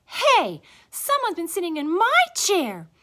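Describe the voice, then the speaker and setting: A woman voicing an indignant mother bear in a children's story, exclaiming loudly. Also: very high-pitched, wildly swooping pitch, slow pace, voice pushed up, exaggerated voice. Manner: loud, exclaiming.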